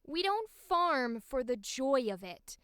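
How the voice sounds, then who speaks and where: A woman voicing a polite but curt teenage farm girl in measured, enunciated audition lines for a game. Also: high-pitched, sing-song, a bit bright, clean tone, no room sound, clean studio sound, exaggerated voice. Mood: firm. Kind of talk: video game audition line reading.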